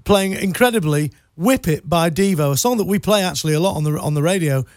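A male radio host interviewing a guest in a studio, easygoing and polite, a little halting. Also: a little high, animated, no echo. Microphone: studio microphone.

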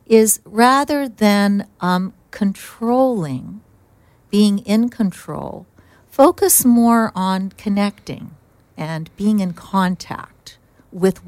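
A middle-aged woman with a lowish voice reflects gently and thoughtfully on her work in social work. The sound is dry and close, with no room around her. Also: dry recording. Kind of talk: reflective interview answers.

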